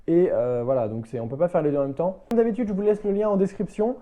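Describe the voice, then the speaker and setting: Very dark-voiced man, casual vlogger. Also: high-pitched. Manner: hesitant, halting, repeats and rephrases himself. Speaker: motorbike vlogger presenting a product review. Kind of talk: casual unscripted review to camera.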